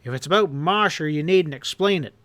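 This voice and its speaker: A man's voice: on the high side, moderate pace, sharp tone, dry recording. A man voicing a hard, furious outlaw leader who roars and snarls his threats. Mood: roaring. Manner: snarling, roaring, ominous.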